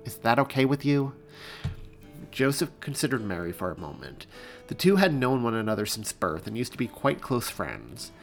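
A man hosting a podcast and reading his own story as expressive, deliberate narration. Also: fairly low pitch, creaky, faint music behind. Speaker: podcast host and author reading. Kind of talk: scripted narration, audiobook-style storytelling.

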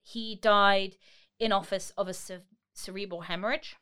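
A woman's voice: mid-range voice, fairly even intonation, normal speed, bright, forceful tone, smooth. A woman co-hosting a podcast, retelling history in a casual, wry and informal way. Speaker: podcast co-host.

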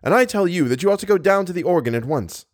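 A man's voice: high-pitched, expressive, fast, dry recording, clean studio sound, voice pushed up. A man voicing a frantic, harried male stage manager who roars and fumes in exasperation. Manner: roaring, exasperated, urgent.

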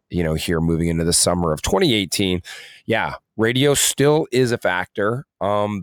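A man's voice: low-pitched, clean tone, no room sound, studio quality. A man co-hosting a podcast, talking casually and earnestly in an informal, conversational way. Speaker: podcast co-host, music artist manager.

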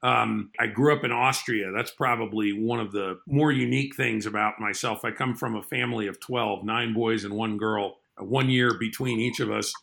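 A man's voice: fairly low pitch, steady tone, normal speed, creaky, hardly any pauses, fairly bright tone. A man in his fifties, a former Marine guest on a podcast, answering in an earnest, reflective way with frequent restarts. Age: 50s. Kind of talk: conversational interview answers.

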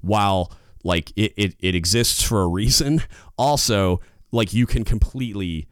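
A man with a loud, booming voice giving casual leadership advice as a podcast host, getting louder when fired up. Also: measured pace, clean tone, no echo, clean studio sound. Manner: gets louder when fired up, rambling restarts.

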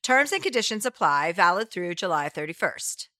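A woman reading a sponsor advertisement in a bright, upbeat manner. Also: lowish voice, sing-song, normal speed. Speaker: ad read by host. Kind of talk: read advertisement.

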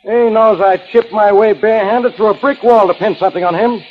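An actor playing a gruff, impatient police inspector in a 1940s radio drama, barking orders and sarcastic wisecracks on a dull recording. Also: male voice, high voice, steady tone, fairly quick, slight background noise, close to an everyday voice.

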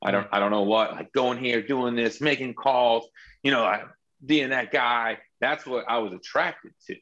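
A man's voice: medium pitch, moderate pace, fairly bright tone, lo-fi sound. A man sharing his faith story as a guest in an interview, earnest and reflective, often restarting his sentences. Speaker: guest entrepreneur.

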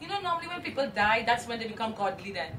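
A woman's voice: fairly high pitch, very animated, quick pace. A woman from Trinidad, chatting casually in an interview and filling her talk with "you know". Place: inside a trailer. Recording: roomy, far from mic, noisy.

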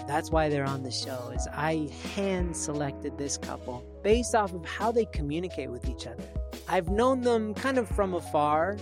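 Enthusiastic podcast host over music. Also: medium pitch. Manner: enthusiastic, admiring. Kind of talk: podcast introduction and interviewing. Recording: music playing under the voice.

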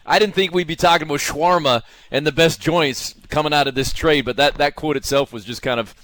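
A man's voice: on the high side, fairly quick, a bit bright. A man with a Canadian accent hosting a sports interview in a casual, chatty way, wandering into colloquial asides. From Toronto area, Canada. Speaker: sports podcast host.

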